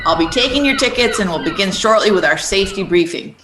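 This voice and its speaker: A woman playing a brisk, blunt, no-nonsense tour boat hostess in a radio play. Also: mid-range voice, lively intonation, roomy sound, noisy background, close to an everyday voice. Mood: brisk, businesslike.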